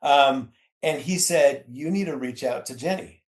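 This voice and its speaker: An American man in his fifties talks as an interview guest. He recounts things in an informal, chatty style at an average male pitch. The sound is distinctly echoey. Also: unhurried. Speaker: podcast guest. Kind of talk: casual chat, recounting.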